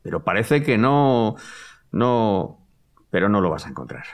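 A low-voiced, nervous dinner host, played by a man in an audio drama. His delivery swings widely up and down. He repeats words and stumbles, eager and evasive with his guests. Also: sing-song, unhurried. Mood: pleading, evasive. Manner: stammering, repeating words, flustered. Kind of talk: live-recorded audio fiction acting.

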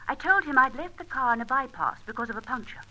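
An actress playing a composed, resourceful female accomplice in an old radio drama, speaking in a crisp, understated way. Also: high-pitched, expressive, quick pace, sharp tone, heavily acted, poor audio. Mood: cool, pleased.

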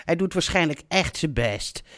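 A woman's voice: sits low, animated, moderate pace. A woman acting in a radio play as a mild older mother of grown children, soothing and somewhat hesitant in manner. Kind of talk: naturalistic radio drama acting.

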